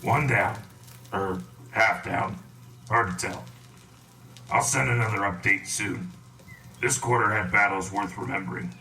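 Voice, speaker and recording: A man acting as a gruff frontline reporter in a sci-fi radio play. His voice is low and sits away from the mic, with clipped, deadpan, wry delivery as he files reports from the battlefield. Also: measured pace, pauses often, distant mic, low-quality recording. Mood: wry, tense. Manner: terse, deadpan. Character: gruff, wry male frontline war correspondent. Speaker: field correspondent. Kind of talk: radio drama field report.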